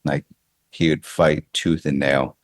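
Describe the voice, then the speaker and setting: Really low voiced american guy, casual podcast guest, keeps restarting phrases, dry close sound. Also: flat delivery, dry recording. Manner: halting, repeats phrases as he thinks aloud. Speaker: baseball coach interviewed as podcast guest. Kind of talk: casual reflective interview talk.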